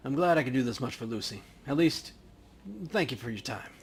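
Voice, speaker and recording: A man's voice: medium pitch, animated, fairly quick, a little hiss or hum, lightly acted. A man voicing a weary, grateful client as he reads game dialogue aloud. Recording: music behind the voice.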